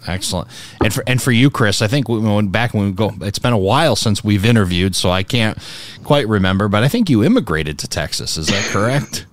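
A man's voice: on the low side, big pitch swings, fairly quick. A man hosting a podcast, asking questions in a casual interview style.

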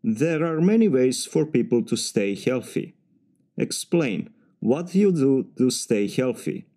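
A man with a Serbian accent speaks in a formal, measured way, phrasing things a little stiffly. His voice sits at an ordinary pitch with a dark, mellow tone. He delivers practice answers as if timed for a spoken test. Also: lively intonation.

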